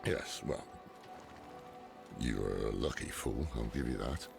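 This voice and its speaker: Casting: grizzled old scholar, rock-bottom pitch, heavy rasping fry, dry, weary, dark fantasy game. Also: male voice, expressive, unhurried, music in the background, exaggerated voice. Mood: wry. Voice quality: very gravelly. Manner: wry, grave, weary.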